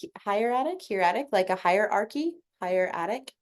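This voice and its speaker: A woman's voice: medium pitch, animated, moderate pace. A woman sharing in a group coaching video call, talking in a casual, reflective way, full of fillers and easy swearing. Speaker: coaching participant.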